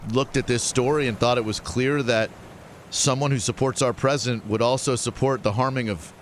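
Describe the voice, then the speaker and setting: A man's voice: medium pitch, fairly even intonation, normal speed, slight background noise, natural voice. A man playing a polite, bemused radio interviewer in a comic cartoon, hesitant and mock-earnest.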